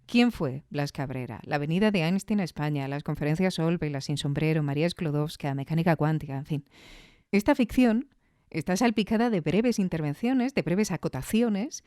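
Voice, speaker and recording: Female host, Spain Spanish accent, soft dark tone, close dry sound, thoughtful conversational commentary. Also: low-pitched, moderate pace, no echo. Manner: reflective, explanatory, with rhetorical questions. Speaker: audio fiction review show host.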